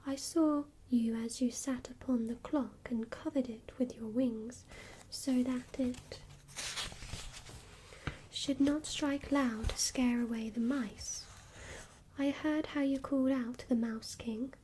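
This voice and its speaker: A woman's voice: high voice, steady tone, slow pace, mellow, slight background noise, poor audio. A woman whispering the lines of a young girl sick in bed, pleading and reproachful.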